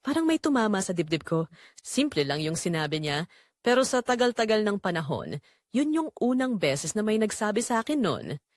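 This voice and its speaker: A woman's voice: mid-range voice, big pitch swings, normal speed, no echo, studio quality. A woman narrating a scripted first-person romance story for an audio drama.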